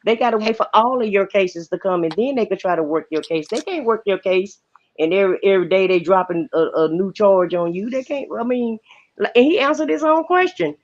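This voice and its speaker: An American woman gives her take in casual, colloquial talk. She is blunt and opinionated, with an average-pitched voice. The audio is a little dull and lo-fi, like an internet call. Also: animated, brisk. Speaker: panelist on a commentary livestream. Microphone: video-call livestream.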